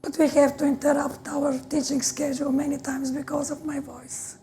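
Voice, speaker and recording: A woman whose native language is Bulgarian, speaking slowly and measuredly on a panel. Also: sits high, level tone, warm tone, a little hiss or hum. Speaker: patient panelist.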